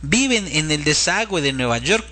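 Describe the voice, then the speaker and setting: A man with a fairly high voice and a very bright, forceful tone delivers a religious talk. He sounds earnest and instructive, addressing his listener directly as a middle-aged teacher of the faith would. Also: sing-song, normal speed. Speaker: religious programme host. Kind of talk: religious talk warning against children's cartoons.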